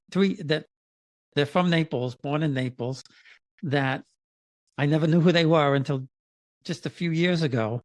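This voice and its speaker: A man's voice: medium pitch, dry recording. A man hosting a casual interview, talking in a hesitant, conversational way with frequent restarts. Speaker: podcast host.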